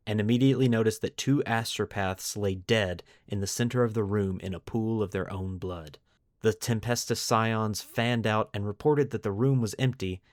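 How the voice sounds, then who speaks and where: A man's voice: on the low side, dry recording, studio quality. A man hosting a podcast, moving between storytelling narration and casual discussion. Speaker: podcast host.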